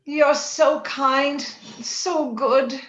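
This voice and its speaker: Actress as fevered young lady, dreamy romantic radio acting, very high voice, echoey dull recording. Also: steady tone, very slow, a bit bright, exaggerated voice. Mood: wistful, weak. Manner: dreamy, yearning, theatrical. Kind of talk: old-time radio drama acting. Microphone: recorded remotely over video call. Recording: echoey, dull video-call sound, music underneath.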